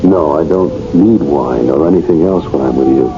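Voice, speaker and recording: An actor playing a troubled, plain-spoken married police lieutenant in a 1970s radio drama. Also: male voice, very deep voice, normal speed. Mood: conflicted, tender. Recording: muffled 1970s radio broadcast over music.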